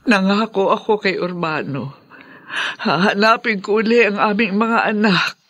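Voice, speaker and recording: Distraught wife, slow and tearful. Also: female voice, mid-range voice, fairly bright tone, no room sound, dull audio. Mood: anxious, resolute. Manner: tearful, emphatic, drawn-out. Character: distraught, devoted wife and mother searching for lost children. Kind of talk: Filipino radio drama melodrama.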